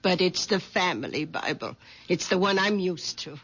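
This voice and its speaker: An actress playing a shy, sensitive older widow in a radio drama, speaking in a timid, halting way. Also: female voice, lowish voice, animated, a bit bright, breathy edge, exaggerated voice. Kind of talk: 1970s radio drama acting.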